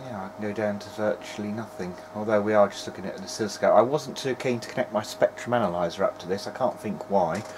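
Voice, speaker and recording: A man giving casual running commentary as he tests electronics, muttering to himself in short, halting remarks. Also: lowish voice. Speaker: hobbyist presenter of a video diary. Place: small cluttered indoor electronics workbench. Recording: clear background noise in workshop.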